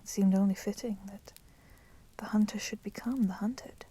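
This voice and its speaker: A woman voicing a proud, resentful female vampire in a whispered ASMR roleplay, speaking softly and deliberately in formal, menacing phrases. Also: medium pitch, steady tone, close to an everyday voice. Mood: cold, accusing. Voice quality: soft, breathy whispering. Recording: constant crackling fire noise behind voice. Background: crackling fire.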